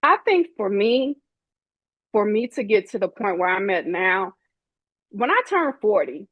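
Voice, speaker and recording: A woman's voice: mid-range voice, sing-song, a little slow, no echo, lo-fi sound. A woman in her forties, a guest in an interview, speaking candidly in a sassy, emphatic way and acting out the people she quotes. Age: 40s.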